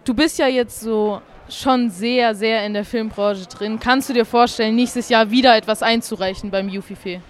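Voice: medium pitch, fairly even intonation, moderate pace, hardly any pauses. A host interviewing young filmmakers outdoors at a film festival, in slangy, enthusiastic casual talk. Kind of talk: casual vox-pop interview.

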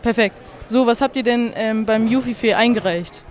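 An interviewer with a mid-range voice, speaking in eager, slang-laden casual chat. The questions are quick and informal, recorded outdoors at an urban festival. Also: medium pitch, a little hiss or hum. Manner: slangy, enthusiastic youth talk. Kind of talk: casual vox-pop interview.

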